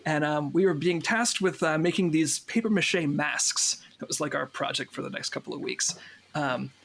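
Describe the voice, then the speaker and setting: A man speaking as a cast member in a casual interview, telling stories in a jokey, animated way. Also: fairly high pitch, moderate pace, gravelly.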